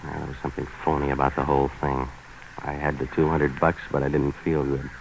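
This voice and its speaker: Very deep-voiced, deadpan hardboiled fixer. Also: male voice, brisk, vocal fry. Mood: wry, world-weary. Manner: deadpan, sardonic, terse. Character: tough, cynical male waterfront fixer, wisecracking narrator. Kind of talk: 1940s hardboiled radio drama narration. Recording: noisy vintage 1940s radio broadcast.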